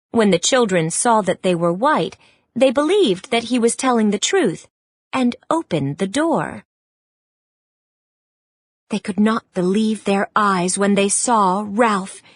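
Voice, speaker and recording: Slow, expressive woman storybook narrator. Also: fairly high pitch, no echo, clean studio sound. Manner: measured, expressive. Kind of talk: scripted children's read-along narration.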